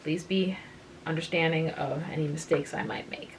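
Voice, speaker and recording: A woman reads a short story aloud for relaxation. Her slightly low voice stays calm and unhurried. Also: a little hiss or hum. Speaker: reader and channel host.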